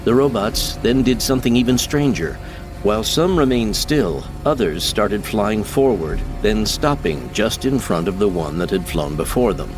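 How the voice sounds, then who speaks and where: A man's voice: lowish voice, expressive, normal speed, over music, rough recording. A man giving scripted, dramatic narration of science fiction as an audiobook narrator.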